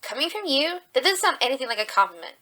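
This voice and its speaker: A woman acts a young female rabbit officer in a fan audio drama. She is earnest and quick to exasperate, with a fairly high, bright voice. The recording rings with heavy echo. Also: very animated, far from the mic, full character voice. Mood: exasperated. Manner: perky, to the point. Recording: very echoey room, faint music.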